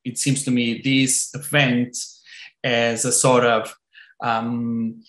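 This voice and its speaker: A man's voice: medium pitch, flat delivery, fairly bright tone. Man on an echoey video call. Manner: halting, searching for words. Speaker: interviewer. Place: room with bare walls. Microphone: video call laptop mic.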